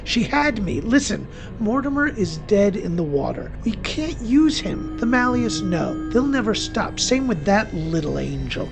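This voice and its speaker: A man's voice: high voice, big pitch swings, a little slow, gravelly, hardly any pauses, music in the background, voice pushed up, heavily acted. A man voicing a cornered, treacherous old woman in a horror story, defiant and pleading. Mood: desperate pleading.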